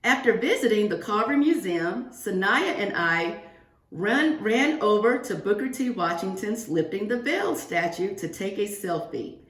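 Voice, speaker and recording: A woman reads a children's book to a young class in an expressive, teacherly way, turning to the listeners with asides and questions. Her voice sits at an average pitch for a woman. The sound is roomy and echoing, as in a remote reading from indoors. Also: a little slow. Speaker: guest reader for a second-grade class. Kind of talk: read-aloud of a children's picture book with asides to pupils.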